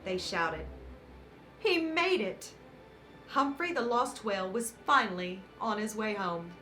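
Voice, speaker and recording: A woman reading a picture book aloud to children as host, with dramatic emphasis and warm, encouraging asides. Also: medium pitch, animated, slow. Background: background music.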